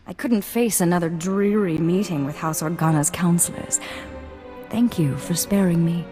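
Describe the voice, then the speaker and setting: A woman's voice: lowish voice, normal speed, warm tone, close to an everyday voice. Poised young noblewoman played by an actress, music behind. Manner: formal, refined. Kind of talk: video game cutscene acting.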